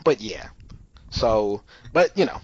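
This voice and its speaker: A man's voice: fairly low pitch, sing-song, takes it slowly, breathy edge. Chatty podcast host man, dull mic. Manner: loose and chatty, full of "you know" and "like". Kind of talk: casual podcast chat.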